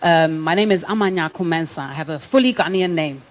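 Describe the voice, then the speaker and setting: A woman's voice: fairly low pitch, brisk, slight background noise. A young woman from London with a London accent, speaking thoughtfully and frankly as a guest on a panel in front of a live audience. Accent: British, London. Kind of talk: panel discussion.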